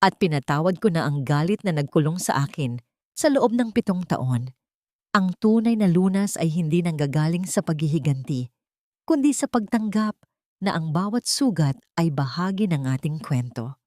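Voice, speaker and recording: A woman with a Filipino accent voicing a reflective, forgiving family man in an audiobook drama, solemn and measured. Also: sits low, expressive, dark tone, no echo, clean studio sound.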